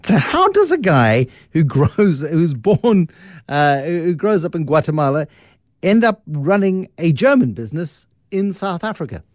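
A man hosts a radio business show in a chatty, lively way, throwing in asides between his questions. His voice sits slightly high for a man. The studio sound is close and dry. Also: measured pace, no echo. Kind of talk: radio interview.

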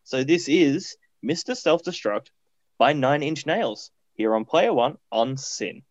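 A man's voice: mid-range voice, expressive, takes it slowly, dry recording. Guy co-hosting a radio show, upbeat and chatty, kinda dull dry studio mic. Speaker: radio co-host. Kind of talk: casual radio chat, song introductions.

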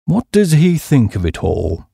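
A dim but chummy young gentleman, voiced by a man reading an audiobook. He talks with earnest wonder, in a slightly husky voice on a dry recording. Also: on the high side, huge pitch swings, a little slow, no room sound, exaggerated voice. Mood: anxious.

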